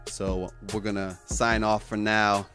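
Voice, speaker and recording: A man's voice: lowish voice, little pitch movement, a little slow, music in the background. A man co-hosting a casual MMA podcast, talking in hyperbolic, slangy hype with some swearing. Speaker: podcast co-host.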